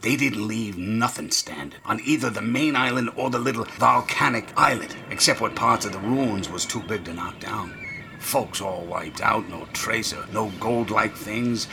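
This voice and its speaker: A half-mad old New sailor in a radio drama. His voice is low-pitched and piercingly sharp, full of grammar, secrecy and fear. A faint ambience sits behind him. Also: a little hiss or hum. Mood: bitter, sly. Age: older. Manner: folksy, dropped grammar, dramatic whispers rising to shrieks. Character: rambling, half-crazed old drunken sailor, secretive and fearful.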